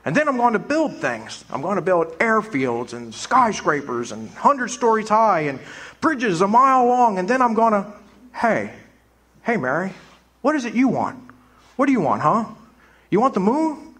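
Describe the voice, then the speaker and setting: A man's voice: sits high, big pitch swings, normal speed, fairly bright tone, heavily acted. An actor playing an eager, talkative young man full of big plans in a live radio play. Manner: eager, rambling, boyish.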